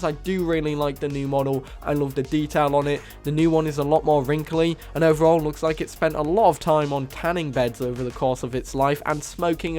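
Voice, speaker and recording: A man with a cold, sounding hoarse and nasal, enthusiastically narrating a game mod showcase as host of his channel. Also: a little high, a little mellow. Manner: enthusiastic, casual, repeats praise. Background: faint music.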